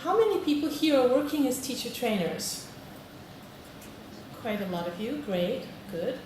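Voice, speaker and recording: A woman's voice: on the high side, sing-song, measured pace, a little mellow. A woman from Britain, a teacher trainer giving a friendly conference talk and polling the audience. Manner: friendly, polls the audience, frequent "okay". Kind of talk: conference presentation. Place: large conference hall. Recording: echoey hall, distant mic, noisy.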